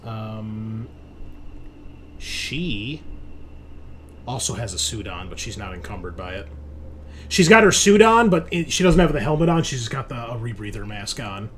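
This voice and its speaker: A man's voice: fairly low pitch, expressive, a little slow, pauses often, a bit bright. A man running a tabletop roleplaying game as game master, talking in a casual, conversational way.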